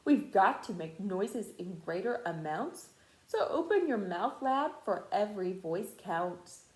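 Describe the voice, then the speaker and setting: A woman's voice: mid-range voice, expressive, measured pace, darkish tone, echoey room, natural voice, rough recording. A woman voicing a tiny, desperate male mayor in a storybook, urgent and declamatory. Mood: rousing, urgent.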